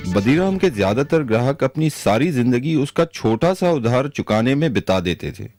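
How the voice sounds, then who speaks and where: Expressive male storyteller over music. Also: medium pitch, moderate pace, dry recording, studio quality. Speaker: narrator. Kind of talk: scripted story narration.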